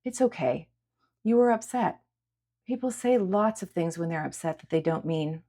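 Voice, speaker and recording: A woman voicing a caring, patient woman who reassures with gentle insistence in a dramatic audiobook. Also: fairly low pitch, animated, normal speed. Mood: soothing. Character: caring, patient woman comforting an upset girl. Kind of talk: dramatic audiobook character acting.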